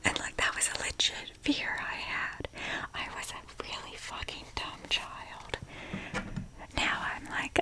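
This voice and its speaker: Female ASMR creator, whispered, slow delivery; casual, rambling, self-deprecating, mild swearing.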